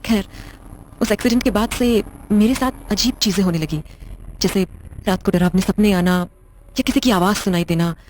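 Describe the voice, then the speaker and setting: A woman's voice: mid-range voice, moderate pace, darkish tone, slight background noise, close to an everyday voice. A woman playing a blunt, controlling widowed wife in an audio drama, speaking in a curt, sardonic, interrogating way. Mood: grave, recounting. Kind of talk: Hindi audio drama acting.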